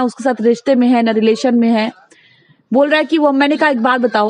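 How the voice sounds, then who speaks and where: A woman's voice: high voice, a little mellow, poor audio. A woman talking casually in a recorded phone conversation, giving advice insistently and repeating herself for emphasis.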